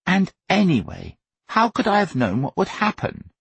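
Man playing a high-pitched, indignant, grandiose schoolboy. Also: huge pitch swings, normal speed, gravelly, breathy edge, no echo, exaggerated voice. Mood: indignant protest. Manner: protesting, pompously grand. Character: indignant, grandiose young schoolboy arguing his innocence.

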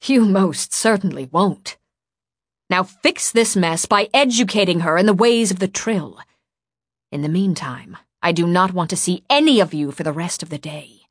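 A woman's voice: on the low side, a little fast, breathy edge, dry recording, clean studio sound. Audiobook role: stern older woman in charge, clipped and cutting, imperious temper, performed by a woman narrator. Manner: severe, clipped, commanding. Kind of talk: audiobook character dialogue.

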